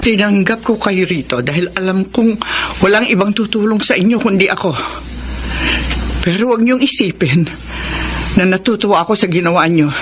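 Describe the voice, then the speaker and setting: A woman's voice: medium pitch, noisy background, close to an everyday voice. A woman playing a stern, embittered middle-aged mother with a Filipino accent, reproachful in radio drama style. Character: stern, embittered mother scolding her student son.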